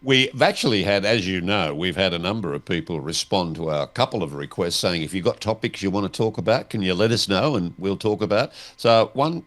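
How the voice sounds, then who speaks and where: Co-host, male, lowish voice, plain-spoken questioner in relaxed conversational talk. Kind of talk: conversational discussion.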